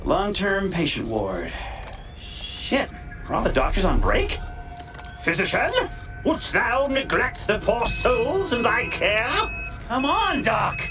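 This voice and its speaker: Game role: brash, playful hacker vigilante, highly expressive, crude and teasing, loud music underneath. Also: male voice, takes it slowly, exaggerated voice. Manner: theatrical, teasing, slipping into mock voices. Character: cocky, wisecracking masked male vigilante hacker, playful and crude. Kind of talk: video game voice acting.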